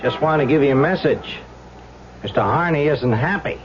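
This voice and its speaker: Actor as a high-pitched, cocky mob underling. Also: male voice, moderate pace, close to an everyday voice. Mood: taunting. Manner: taunting, boastful. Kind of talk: old-time radio crime drama acting. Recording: muffled, hissy old radio recording.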